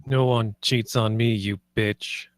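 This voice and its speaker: A man's voice: lowish voice, fairly even intonation, slow, no echo. An actor at a screenplay table read playing Michael, a paranoid, violent and frightened man who is by turns menacing and pleading. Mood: enraged. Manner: volatile, menacing then pleading.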